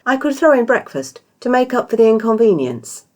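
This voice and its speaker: A British woman voicing a cheerful, scheming female innkeeper in a storybook, bright and polite with feigned innocence. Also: fairly high pitch, big pitch swings, brisk, voice pushed up. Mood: bright, guileless.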